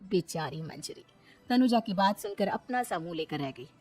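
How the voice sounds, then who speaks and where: A woman narrates an animated family tale in a medium-pitched voice. The delivery is scripted storytelling, with background music running underneath. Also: moderate pace. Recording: music behind the voice.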